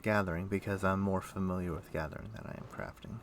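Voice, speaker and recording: A man livestreaming a game, chatting casually and thinking aloud in a rambling way, with a rough morning voice. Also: low-pitched, steady tone, fast talker, slight background noise. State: rough morning voice, throat clearing. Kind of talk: casual chat while playing an online game.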